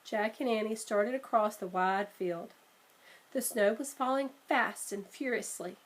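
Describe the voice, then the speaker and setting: A woman reading a children's storybook aloud in an expressive, measured way. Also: mid-range voice. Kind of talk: storybook read-aloud narration.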